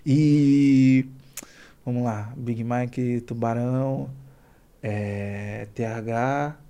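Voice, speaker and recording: A man's voice: medium pitch, steady tone, extremely slow, warm tone, clean tone, lo-fi sound. A man with a Brazilian accent chatting casually as a podcast guest, explaining things informally with slang and repeating points for emphasis.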